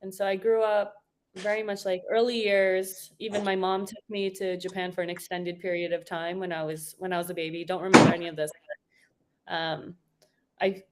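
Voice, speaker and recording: Chatty woman in her forties, lowish voice. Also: little pitch movement, moderate pace, noisy background. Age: 40s. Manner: chatty, laughs often, many fillers and restarts. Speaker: guest. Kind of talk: casual chat.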